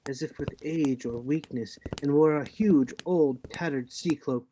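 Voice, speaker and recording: A man playing the narrator of a radio play reading, telling a boyhood adventure in a measured, suspenseful way. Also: a little high, mellow, a little hiss or hum, lightly acted, poor audio. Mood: grave, suspenseful. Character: grown man recounting his boyhood sea adventure.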